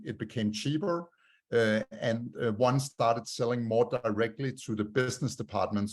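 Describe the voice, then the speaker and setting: A man with a Danish accent presents a talk over a video call and fills it with many "uh" pauses. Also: mid-range voice. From Denmark. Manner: many "uh" fillers, measured delivery. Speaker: guest speaker.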